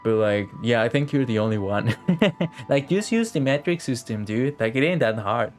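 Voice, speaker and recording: Guy from costa rica streaming a game, rambly n jokey, swears a bit, quiet music under. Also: mid-range voice, lively intonation, normal speed. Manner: rambling, joking, swears casually. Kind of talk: casual live stream chat.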